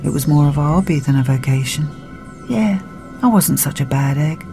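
A low, brooding voice of a woman playing a fallen galactic dictator stuck in a human body. The delivery is melodramatic and self-pitying, like a first-person storybook confession, with music underneath. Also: expressive, normal speed. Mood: musing, grudging. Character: bitter, self-pitying deposed male alien tyrant in human body.

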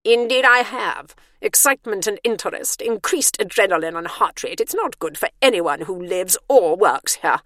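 A woman's voice: medium pitch, expressive, normal speed, hardly any pauses, fairly bright tone, dry recording, clean studio sound, natural voice. A woman voicing a haughty, officious male care-home warden who orders everyone about.

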